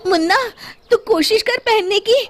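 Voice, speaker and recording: A woman voicing a village mother who coaxes with concern, in an animated children's story. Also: extremely high pitch, measured pace, voice pushed up. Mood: coaxing, concerned. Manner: coaxing, concerned. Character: gentle, coaxing village mother.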